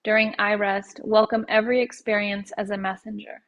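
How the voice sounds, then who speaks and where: A woman leading a guided yoga nidra meditation in a soothing, unhurried way, letting her phrases end softly. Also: mid-range voice, little pitch movement.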